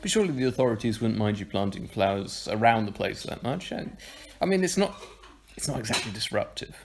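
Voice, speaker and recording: A man's voice: fairly low pitch, sing-song, brisk. A man playing a sceptical, bemused young newcomer in an audio drama, speaking in a dry, hesitant, stammering way.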